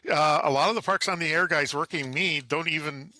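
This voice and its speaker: A man answering as an interview guest, explaining things conversationally in a halting way with frequent pauses and repeated words. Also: on the high side, bright, forceful tone, no echo. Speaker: guest, amateur radio contester.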